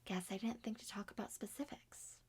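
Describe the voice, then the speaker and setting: A woman voicing a quiet, watchful boy with a hushed, hesitant delivery. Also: medium pitch, a little fast, breathy edge, in a whisper. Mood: hushed, matter-of-fact.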